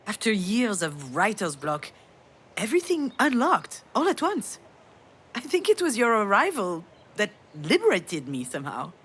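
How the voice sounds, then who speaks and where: An actress playing a weary, grieving mother in a video game, soft and wry, with a self-deprecating manner. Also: fairly low pitch, slight background noise. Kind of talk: naturalistic video game drama acting.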